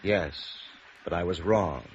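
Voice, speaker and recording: An American actor playing a smooth, condescending villain in a 1950s radio drama, mock-polite and unhurried in his menace. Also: low voice. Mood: cold contempt. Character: smooth, sneering villain, actor's agent holding a gun. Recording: noisy, muffled vintage radio recording.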